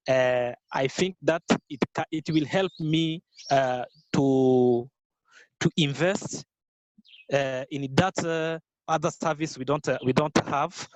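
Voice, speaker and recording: A Rwandan man whose first language is Kinyarwanda, answering interview questions in careful, halting English with many fillers and restarts. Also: fairly high pitch, dry recording, poor audio. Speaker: guest, business founder. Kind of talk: podcast interview.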